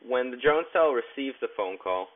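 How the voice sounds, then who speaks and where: A man narrating a step-by-step tech tutorial in a plain, instructional way with halting phrasing. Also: medium pitch, expressive. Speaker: tutorial presenter. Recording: tinny, narrow phone-like sound.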